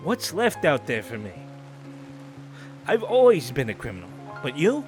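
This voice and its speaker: A man's voice: fairly high pitch, huge pitch swings, normal speed, faint music behind. A man playing a loyal, self-sacrificing criminal in an audio drama, earnest and plain-spoken. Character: loyal, self-sacrificing male career criminal, gently resigned. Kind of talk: audio roleplay drama acting.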